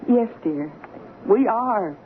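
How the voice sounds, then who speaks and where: Gentle, hesitant mother for radio drama; lilting, animated delivery on a tinny, faintly noisy old broadcast. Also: on the high side, slow pace. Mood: uneasy, gentle. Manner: hesitant, soothing. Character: gentle, anxious mother of a teenage girl.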